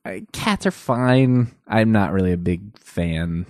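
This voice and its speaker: A man with a nasal voice, a podcast guest chatting casually, halting often and restarting his sentences. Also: fairly low pitch, big pitch swings, creaky, darkish tone, no echo, studio quality. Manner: hesitant, halting with many restarts. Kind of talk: casual chat.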